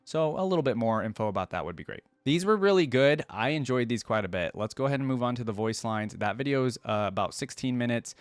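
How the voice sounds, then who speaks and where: A man's voice: medium pitch, animated, quick pace, hardly any pauses, smooth, no room sound. A man giving casual, musing commentary as a reaction video host. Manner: informal, musing, conversational.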